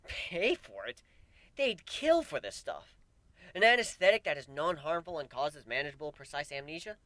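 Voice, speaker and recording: A man's voice: a little high, very animated, normal speed, pushed and bright, no echo, voice pushed up. A man voicing a weary, wry male pegasus who grows plants, in a dramatic fan fiction reading. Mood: incredulous.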